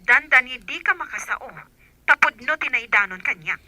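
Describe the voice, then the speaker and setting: A woman's voice: high-pitched, lively intonation, normal speed, full character voice. An actress playing a suspicious, bossy female employer, interrogating impatiently through a telephone filter in a radio drama. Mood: suspicious, scolding. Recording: sharp thin telephone-call sound.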